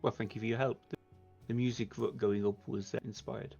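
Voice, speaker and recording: Creaky-voiced man at game table. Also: mid-range voice, flat delivery, brisk, a little mellow, soft background music. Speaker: player in a tabletop roleplaying game. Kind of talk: casual planning talk, partly in character. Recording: slightly dull, band-limited sound.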